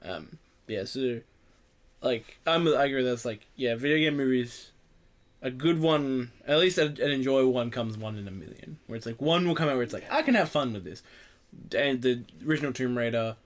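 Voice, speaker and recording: A man's voice: mid-range voice, fairly quick. A man hosting a podcast in casual, opinionated group chat, rambling and swearing freely. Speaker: podcast host.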